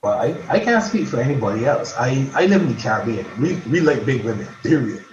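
A man's voice: mid-range voice, very animated, noisy background. Stammering man, roomy lo-fi sound. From Barbados. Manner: halting, stammers and repeats words. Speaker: guest poet. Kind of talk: casual podcast chat.

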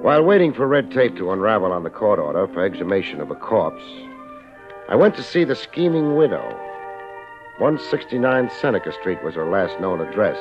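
A hard-boiled private investigator from 1950s radio. His lowish voice swings expressively through wry, slangy lines. The recording is muffled vintage broadcast audio with music behind it. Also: sing-song, normal speed. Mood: brooding narration. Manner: wry, sardonic, clipped slang. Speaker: lead actor and narrator of a detective series. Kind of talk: 1950s radio detective drama acting and narration.

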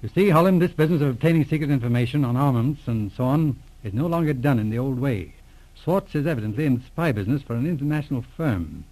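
A man's voice: medium pitch, animated, fast, darkish tone, close to an everyday voice, poor audio. A man playing a shrewd, unflappable police inspector turned private detective in a radio drama, speaking in a wry, matter-of-fact way. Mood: confiding, thoughtful. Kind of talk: 1940s radio drama acting.

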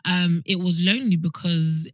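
A woman's voice: lowish voice, steady tone, moderate pace, dry recording, clean studio sound. A British woman in her twenties co-hosting a podcast, telling a personal story in a candid, wry way. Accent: British English. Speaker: podcast co-host. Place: podcast studio.

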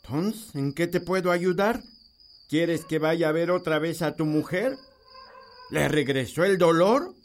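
A man playing an old, slow-talking farmer. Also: a little high, animated, slight background noise. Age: older. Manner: slow, unhurried, plainspoken. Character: old male village farmer and healer, weary. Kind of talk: radio drama acting.

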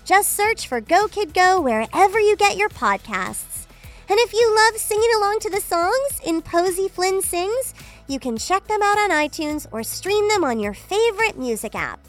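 A woman playing a bubbly, sweet young girl singing star, gushing and excitable, in a children's audio drama. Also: extremely high pitch, moderate pace, faint music behind. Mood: cheerful, gushing. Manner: gushing, emphatic, cheerful.

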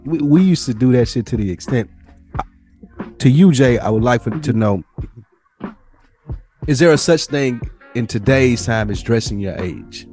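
A man's voice: medium pitch, lively intonation, normal speed, pauses often, mellow, soft background music. A man, chatting casually as a podcast host in profane, repetitive slang. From southern United States. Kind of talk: casual chat.

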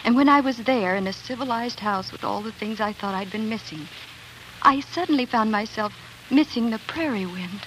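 A woman's voice: fairly high pitch, moderate pace, a bit bright, slight background noise, lightly acted, low-quality recording. An actress playing a young homesteader wife and mother in a 1940s radio drama, narrating in an earnest, wistful, dramatic way. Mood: reflective. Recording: 1940s radio broadcast recording.

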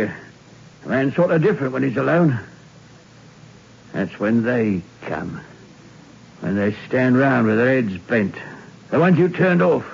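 A man's voice: medium pitch, creaky, husky. An actor playing a nervous hangman shaken by a ghostly sign, agitated and repeating himself, in a 1960s radio drama. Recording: dull old radio recording.

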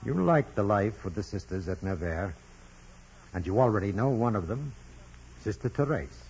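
Deep-voiced, kindly old-radio priest. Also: male voice, animated, moderate pace, darkish tone, husky, full character voice. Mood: gentle, probing. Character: kindly, solemn male parish priest, protective and troubled. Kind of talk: 1940s radio drama acting. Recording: dull vintage radio recording, music behind.

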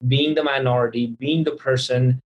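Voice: medium pitch, fairly even intonation, moderate pace, highs cut off. A podcast host talking conversationally and reflectively, in an earnest, encouraging way, repeating phrases for emphasis. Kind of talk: conversational interview, reflective recap.

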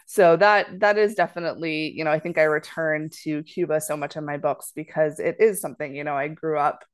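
A woman's voice: low voice, steady tone, brisk, smooth. A woman author from Florida talking thoughtfully as a podcast guest, with many "you know" fillers. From Florida, United States. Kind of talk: casual interview chat.

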